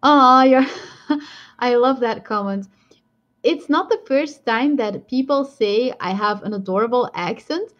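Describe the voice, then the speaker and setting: A woman's voice: a little high, measured pace. A woman with a strong Belgian accent chatting casually on a live stream, rambling and laughing often as she answers viewer comments. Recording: dull lo-fi stream audio.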